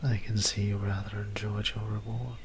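A man playing a gentle, courtly young vampire priest in an ASMR roleplay, soft and breathy, with hesitant, formal, tender phrasing. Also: lowish voice, little pitch movement, normal speed, noisy background, natural voice. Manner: hesitant, tender, formal old-fashioned wording. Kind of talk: ASMR roleplay audio drama.

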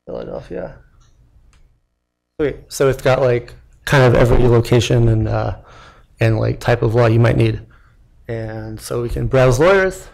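A man's voice: medium pitch, measured pace, gravelly, long pauses, a little mellow. A young man, a startup founder, giving a casual live product demo from a podium in a lecture hall, with lots of fillers and restarts. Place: lecture hall at a tech meetup. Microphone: podium mic.

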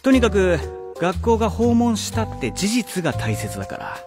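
An actor playing a condescending middle-aged male vice-principal in a radio drama, halting and officious, in a remembered-voice effect. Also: sits high, sing-song. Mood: pressing. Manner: halting, officious, with drawled hesitations. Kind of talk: Japanese radio drama acting. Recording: long reverb, music underneath.

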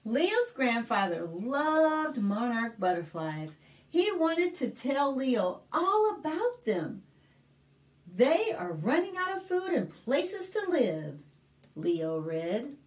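A middle-aged American woman hosting a storytime, reading a picture book aloud to young children in an expressive, deliberate way with simple explanations. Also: sits high. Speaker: children's librarian and storytime host. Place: small indoor room, plain backdrop.

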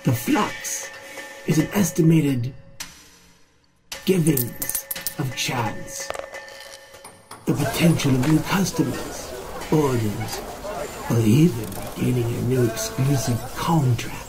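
A man reading a slow, solemn, reflective story narration in measured, old-fashioned language. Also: medium pitch, poor audio. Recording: music bed under the voice.

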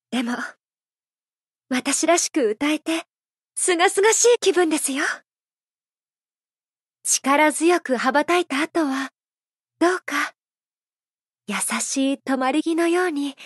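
High-voiced gentle idol singer. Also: female voice, no room sound, clean studio sound, exaggerated voice. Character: gentle, elegant adult female idol singer, serene, playfully affectionate. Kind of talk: Japanese mobile idol game voice lines.

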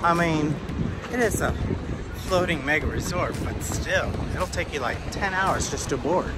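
A man's voice: on the high side, a little slow, over loud music. Chatty man vlogging on a city walk. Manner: chatty, easygoing commentary while walking. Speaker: travel vlogger. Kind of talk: casual walking-tour vlog. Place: outdoors on city streets, parks and in shops. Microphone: phone held at arm's length.

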